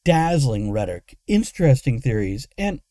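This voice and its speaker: A man's voice: medium pitch, sing-song, normal speed, mellow, dry recording, studio quality, lightly acted. A man voicing a sarcastic, exasperated adventurer woman who snaps her lines in a fantasy audiobook. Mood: mocking.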